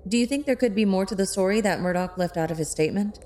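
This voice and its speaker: An actress playing a sharp, sassy young hacker sidekick in an audio drama, talking in a casual, wry, blunt way. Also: female voice, medium pitch, fast talker, slight background noise, natural voice. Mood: blunt, questioning.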